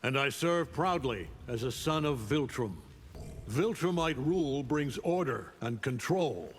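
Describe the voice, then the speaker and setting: A haughty imperial alien warrior from a video game, played by a man. His mid-pitched voice is stern and threatening, ringing in long reverb with faint noise. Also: animated, unhurried, close to an everyday voice. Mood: haughty threat. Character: proud, stern male alien warrior of empire. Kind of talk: video game character dialogue.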